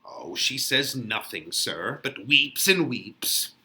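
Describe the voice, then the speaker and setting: A woeful, fretful nursemaid played by a man in a dramatic Shakespeare reading. The lament is slow and melodramatic, with a bright, forceful tone. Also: medium pitch, very animated, pitched below the performer's own voice, heavily acted. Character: woeful, fretful female nurse bearing bad news.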